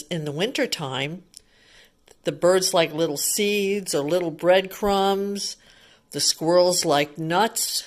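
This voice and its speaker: A woman storyteller takes young children through a picture book at a very unhurried pace. Her pitch moves up and down freely as she comments on the pictures. She explains things simply and often checks in with a little question. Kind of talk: read-aloud with chatty commentary for young children.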